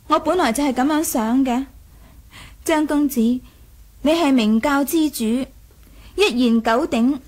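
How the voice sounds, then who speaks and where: A very high-pitched young princess in a radio play. She is proud and imperious, yet coquettish and teasing, with a wistful edge to her probing manner. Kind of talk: Cantonese 1980s radio drama acting.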